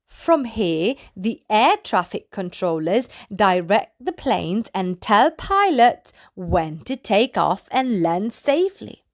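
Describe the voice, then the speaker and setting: A woman reading a picture book aloud to young children, in a playful manner as the host. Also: fairly high pitch. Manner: addresses small children, playful sound effects.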